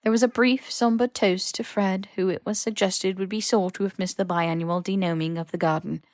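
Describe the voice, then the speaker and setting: A woman's voice: medium pitch, steady tone, moderate pace, darkish tone, no echo, studio quality. A woman reading scripted fan fiction as an audiobook narrator. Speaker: podfic narrator.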